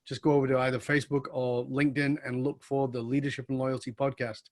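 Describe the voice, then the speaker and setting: A man's voice: mid-range voice, flat delivery, quick pace. A man from the UK hosting an interview podcast, talking with enthusiasm as he wraps up with his guest. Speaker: podcast host.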